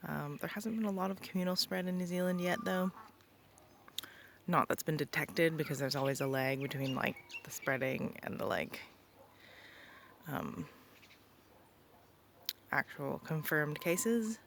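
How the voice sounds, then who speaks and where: A woman's voice: deep voice, unhurried, gravelly, long pauses. A young woman vlogging casually from her rural property, rambling with many ums and likes. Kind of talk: casual vlog talk. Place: rural property outdoors, birds around. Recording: noisy outdoor recording.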